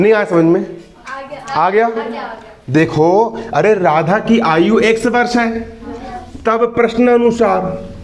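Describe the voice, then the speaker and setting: A man in his early twenties teaching a maths class. He keeps repeating questions to the students and teases and scolds them. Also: sits high. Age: 20s. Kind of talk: classroom lesson. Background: students in the room.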